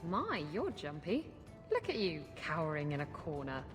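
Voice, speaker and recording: An actress playing a brash, flirtatious pirate captain in a video game cutscene, teasing and sardonic. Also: female voice, sits low, wildly swooping pitch, moderate pace, over music. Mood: playful, teasing.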